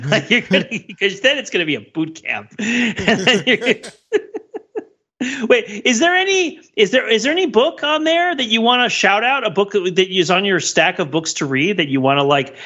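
A man's voice: sits high, animated, normal speed, pushed and bright, highs cut off. A man co-hosting a podcast in casual, joking banter with a wry, playful manner. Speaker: podcast co-host.

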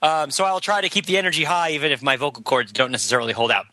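A hoarse man talks at length on a podcast, restarting his sentences often and poking fun at himself. His voice is very bright and sharp-edged. The recording is dry and close. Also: fairly high pitch, steady tone, racing, no echo. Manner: rambling, self-deprecating, with many restarts. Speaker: podcast panelist. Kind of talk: casual podcast discussion.

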